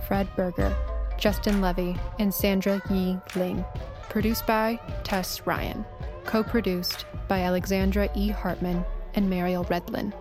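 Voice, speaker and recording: A woman announcer giving a scripted reading of closing credits. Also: mid-range voice. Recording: music under the voice.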